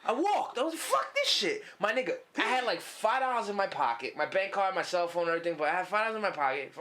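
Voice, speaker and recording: A man's voice: high-pitched, fast talker. A young man hosting a casual podcast, telling stories with lots of swearing and acted-out quotes. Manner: animated storytelling, heavy swearing, acts out quotes. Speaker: podcast host. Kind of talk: casual chat with an old friend.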